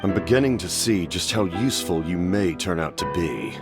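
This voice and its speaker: An actor playing a grave, proud warrior in a video game, with a gravelly, measured, imperious delivery. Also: male voice, expressive. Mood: cold, proud. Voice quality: very deep, gravelly. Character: grave, proud male warrior of honor, injured. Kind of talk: dramatic video game acting. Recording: prominent music under the voice.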